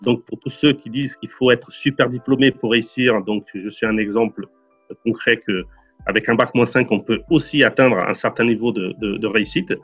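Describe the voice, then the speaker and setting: A man's voice: mid-range voice, moderate pace, a bit bright, husky, lo-fi sound, faint music behind. A man in his forties from Portugal, a real estate investor, answering interview questions earnestly with frequent fillers and repeated words.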